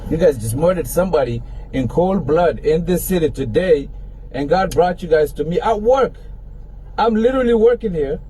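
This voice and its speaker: A man's voice: fairly high pitch, animated, normal speed, dark tone, breathy edge, rough recording. A man sitting in his parked car filming on his phone, arguing in an incredulous, confrontational way. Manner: argumentative, incredulous. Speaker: rideshare driver filming an encounter. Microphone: phone camera.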